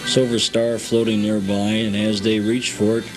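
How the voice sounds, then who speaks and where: A man with a fairly low voice acts as a guest tour guide. He explains a story one step at a time in a plain, matter-of-fact manner. Music is clearly audible behind his voice. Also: level tone, normal speed, low-quality recording.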